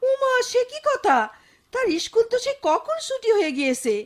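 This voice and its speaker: A woman's voice: extremely high pitch, sing-song, normal speed. An actress playing a doting, fretful old grandmother with a rural Bangladeshi accent in a radio drama, exclaiming and scolding dramatically. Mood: anxious. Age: older. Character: doting, fretful old village grandmother, a widowed mother-in-law. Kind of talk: Bengali radio drama acting.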